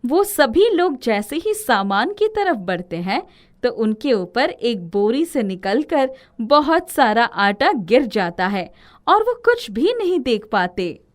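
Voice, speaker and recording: A woman's voice: a little high, sing-song, takes it slowly. A woman narrating a scripted moral story as its storyteller.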